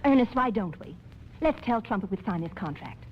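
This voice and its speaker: Woman as an eager, animated adoring wife. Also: medium pitch, brisk, slight background noise, natural voice. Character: affectionate, eager female stage singing star and wife. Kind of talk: 1940s radio romantic comedy acting. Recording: muffled 1940s radio recording.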